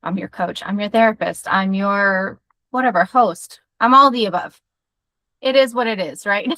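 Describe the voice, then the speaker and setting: A woman's voice: medium pitch, moderate pace, pushed and bright, dry recording. A woman therapist hosting a podcast, talking in an upbeat, chatty way over a video call. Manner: upbeat, chatty, laughs easily. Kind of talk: casual interview chat.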